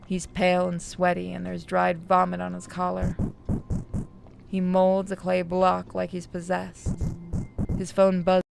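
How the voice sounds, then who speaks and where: A woman's voice: on the low side, completely flat delivery, unhurried, gravelly, noisy background. A woman reading a horror visual novel aloud as a scripted narration, with casual asides.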